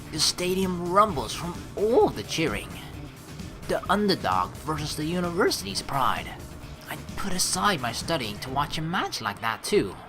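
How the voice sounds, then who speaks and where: A man reading a game aloud as a let's play host, with excitable commentary and dramatic narration. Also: mid-range voice.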